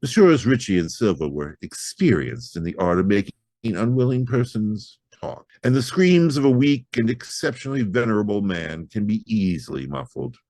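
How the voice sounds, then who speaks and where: A man reading a horror story aloud as an audiobook narrator, with theatrical, expressive delivery. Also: lowish voice, moderate pace, dry recording.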